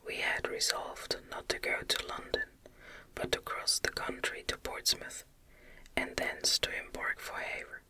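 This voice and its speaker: Slow whispering woman reading a story. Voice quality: breathy, unvoiced whisper. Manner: gentle, soothing, unhurried. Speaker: audiobook reader. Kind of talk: ASMR whispered reading of a gothic novel.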